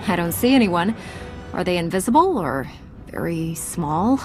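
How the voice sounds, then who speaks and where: A woman's voice: lowish voice, animated, unhurried. An actress playing a composed, no-nonsense female Jedi commander in a video game, assertive and dry. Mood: wary, stern. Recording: loud music underneath.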